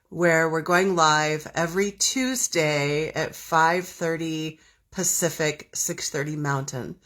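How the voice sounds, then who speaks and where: A woman hosting a spiritual live stream, talking casually to viewers, slightly hoarse from a cold and repeating her questions with frequent ums. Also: deep voice, fairly bright tone. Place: home, southern Arizona.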